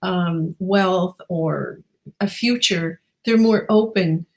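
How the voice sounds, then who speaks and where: A woman's voice: lowish voice, slow pace, a little mellow. A woman hosting a casual, conversational interview, engaged and affirming as she asks and responds. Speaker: interviewer, host.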